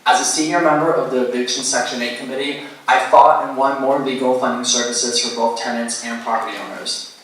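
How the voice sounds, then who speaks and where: A man's voice: medium pitch, fairly even intonation, fairly quick, fairly bright tone. Man giving a rehearsed campaign statement. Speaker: candidate. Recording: echoey hall, voice from far off.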